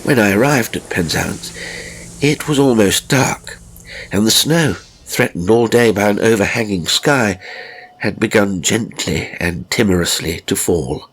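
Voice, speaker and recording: A man narrating a literary ghost story in the first person, with measured, dramatic phrasing and deliberate pauses. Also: fairly low pitch, lively intonation, husky, poor audio. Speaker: audiobook narrator. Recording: music behind the voice. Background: music.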